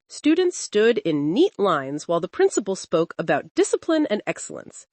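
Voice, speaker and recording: A woman storyteller giving an expressive, suspenseful narration of a scripted dramatic folktale. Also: mid-range voice, normal speed, no echo.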